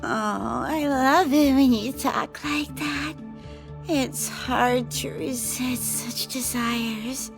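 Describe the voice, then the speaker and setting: A woman voicing a playful, flirtatious woman, a vampire's lover, in an audio drama, teasing and unhurried as she tells her stories. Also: high-pitched, vocal fry, full character voice. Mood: teasing, confiding. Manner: teasing, unhurried storytelling with frequent laughter. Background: background music.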